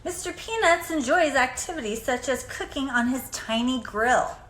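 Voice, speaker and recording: Casting: female children's storybook reader, lively intonation and expressive, deliberate delivery, recorded in an echoey room. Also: high-pitched. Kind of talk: children's picture book read-aloud. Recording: echoey room, faint background noise.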